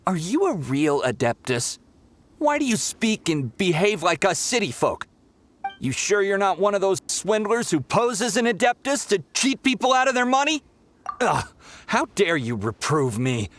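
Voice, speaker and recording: Whiny, swooping young pilgrim. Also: male voice, high voice, sing-song, normal speed, a bit bright, a little hiss or hum. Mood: indignant. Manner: melodramatic, whiny, blunt. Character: brash young male pilgrim, greedy, whiny, easily offended. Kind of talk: video game voice acting.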